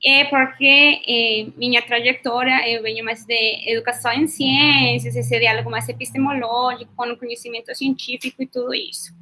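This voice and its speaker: Non-native Colombian woman, workshop facilitator on a call; high and bright, hesitant. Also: little pitch movement, normal speed, few pauses, a little hiss or hum, rough recording. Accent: Colombian Spanish. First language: Spanish. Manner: hesitant, frequent fillers and tag questions. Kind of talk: online workshop talk. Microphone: video call.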